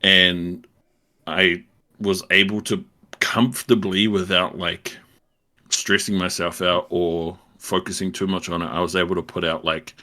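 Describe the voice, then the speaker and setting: A man's voice: deep voice, level tone, unhurried, pushed and bright. A young man, co-hosting a podcast in a laid-back, rambling chat that is broken by frequent laughs. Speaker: podcast co-host. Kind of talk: casual chat.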